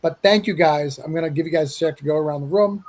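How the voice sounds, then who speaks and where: A man with a husky, gravelly voice hosting a casual livestream, talking informally and in halting pieces. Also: fairly high pitch, darkish tone. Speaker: livestream host and comic project organiser. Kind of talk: casual livestream announcement and chat.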